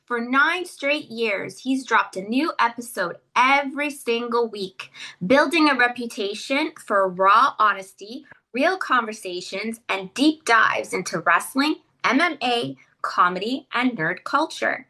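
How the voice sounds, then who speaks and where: A woman's voice: a little high, animated, measured pace, sharp tone, low-quality recording. A woman hosting a podcast interview, reading an upbeat intro and then asking questions. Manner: upbeat, reads a scripted intro, then affirming listener. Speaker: podcast host.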